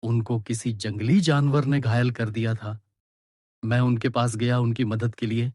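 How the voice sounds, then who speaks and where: A man's voice: medium pitch, steady tone, a little slow, a little mellow, no room sound, clean studio sound, lightly acted. A man playing a troubled young prince under a curse in a cartoon story, speaking earnestly. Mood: weary, confessing. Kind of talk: Hindi animated moral story dialogue.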